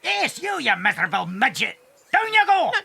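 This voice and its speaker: An actor playing a mean, bossy, scheming thin farmer with a raspy, sneering delivery in a children's audio drama. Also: male voice, very high voice, huge pitch swings, normal speed, bright, forceful tone, slight background noise, heavily acted. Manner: sneering, domineering.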